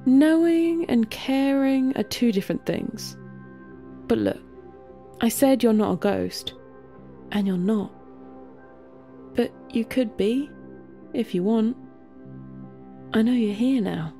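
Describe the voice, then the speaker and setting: A woman's voice: on the high side, slow pace, vocal fry, long pauses, dark tone, faint music behind. A woman voicing a blunt, casual guide to the dead in a story, explaining things matter-of-factly. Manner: matter-of-fact, offhand.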